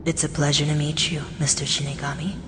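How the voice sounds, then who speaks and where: A woman in an anime fan dub playing a calm, coolly superior young male detective genius, speaking in a measured, deliberate way. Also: sits low, noisy background. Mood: cool, assured.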